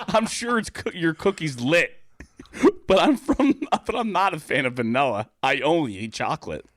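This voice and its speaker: Guy doing a polite picky storybook man, jokey apologetic, pitched way up from normal. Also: expressive, normal speed, a bit bright. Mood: amused refusal.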